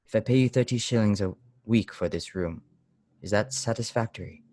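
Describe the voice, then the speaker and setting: A man playing a strange, pious and weary lodger in a radio play, solemn, deliberate and brusque. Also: lowish voice, mellow, no echo, lightly acted. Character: strange, weary, severe pious lodger, brooding and easily angered. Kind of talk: old-time radio play acting.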